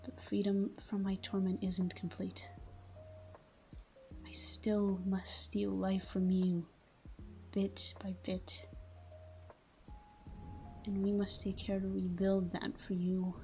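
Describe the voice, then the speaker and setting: A woman's voice: mid-range voice, completely flat delivery, slow pace, pauses often, dark tone, faint music behind, rough recording. A woman playing a caring, tormented vampire lover in an intimate ASMR roleplay, murmuring tenderly and slipping into whispers. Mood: tender, haunted. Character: caring, tormented female vampire lover watching her sleeping partner.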